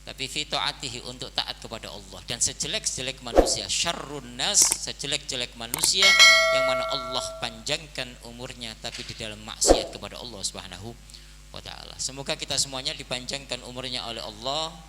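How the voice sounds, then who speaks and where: A man in his forties, head of a boarding school, preaching slowly to his students and often saying "nah, ini" to fill his pauses. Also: mid-range voice, animated. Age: 40s. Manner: slow, measured preaching with the repeated filler "nah, ini". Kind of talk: birthday speech and sermon to students. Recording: hall reverb with faint noise.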